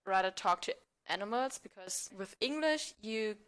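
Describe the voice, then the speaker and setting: A woman with a medium-pitched voice speaks as a guest in casual conversation. She thinks aloud, qualifying her answers as she goes. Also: lively intonation, normal speed, a bit bright, smooth. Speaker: podcast guest, amateur theatre member. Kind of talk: casual chat.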